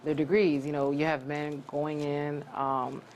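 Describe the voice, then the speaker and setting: Young woman, from Cameroon, deep voice, earnest, halting with ums, interview guest. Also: level tone, a little mellow, highs cut off, a little hiss or hum. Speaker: interview guest, graduate student. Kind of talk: casual interview answers.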